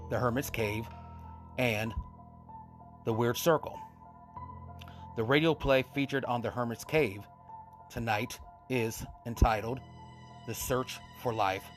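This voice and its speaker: A man hosting a horror radio show, giving a scripted introduction and addressing listeners directly and deliberately. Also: medium pitch. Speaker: host of an old-time radio horror show.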